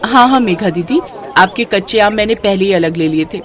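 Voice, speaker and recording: A woman's voice: mid-range voice, animated, brisk, some chatter behind, natural voice. A woman voicing a kind, hardworking woman vegetable seller in a moral story, respectful and eager to help. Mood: respectful, helpful. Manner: respectful, reassuring, helpful. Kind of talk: Hindi moral story character dialogue.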